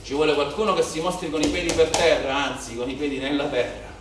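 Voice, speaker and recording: In a very echoey theatre, picked up from a distance, a male actor plays a brooding, bitter policeman, son of a shepherd. His voice is on the high side for a man. He declaims his stage monologue with rhetorical repetition and weighty pauses. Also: fairly even intonation, natural voice. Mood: declamatory. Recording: very echoey theatre, distant mic, faint noise.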